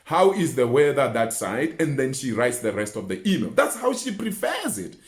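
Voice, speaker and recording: A man's voice: medium pitch, big pitch swings, normal speed. A man giving an instructional talk in an emphatic, didactic way, using rhetorical questions and repetition. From Botswana. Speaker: research mentor and communication coach. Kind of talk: instructional talk with numbered tips. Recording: roomy, echoing indoor sound.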